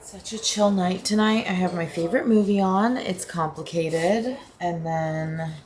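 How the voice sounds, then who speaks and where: Sleepy-voiced woman with a low voice. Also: unhurried, darkish tone. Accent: American. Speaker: lifestyle vlogger. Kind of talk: casual vlog chat. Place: home kitchen.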